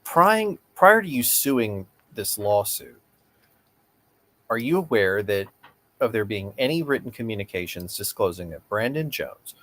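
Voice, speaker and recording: A man's voice: on the low side, very animated, measured pace, fairly bright tone. A man playing a persistent, formal lawyer questioning an evasive witness in a read-aloud deposition. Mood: pressing.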